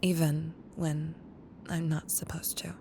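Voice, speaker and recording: A woman playing a caring, wistful young childhood best friend in an intimate, gently teasing ASMR roleplay. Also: flat delivery, vocal fry, exaggerated voice. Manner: tender, unhurried, teasing. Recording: audible low rumbling background noise.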